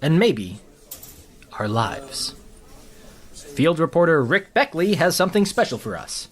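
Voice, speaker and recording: A man's voice: on the high side, sing-song, a little slow. A man playing a snide, self-important news anchor in a comedy newscast, pompous and sarcastic. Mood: flippant, pompous.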